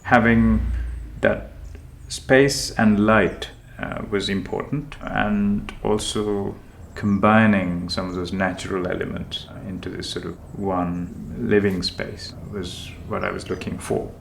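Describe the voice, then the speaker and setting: A man from Sri Lanka, a homeowner, talking in a slow, thoughtful and hesitant way about his house. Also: fairly low pitch, fairly even intonation, vocal fry, slight background noise. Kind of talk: reflective conversational chat.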